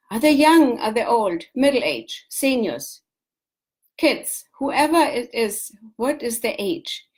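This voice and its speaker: Woman host, German accent, higher voice, instructive, repeats points in lists. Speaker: show host, business coach. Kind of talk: live radio talk show monologue.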